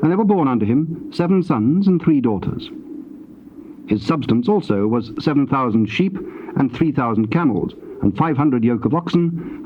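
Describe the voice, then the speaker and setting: A man gives a solemn, measured, declamatory narration of scripture. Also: medium pitch. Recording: dull tape with faint noise.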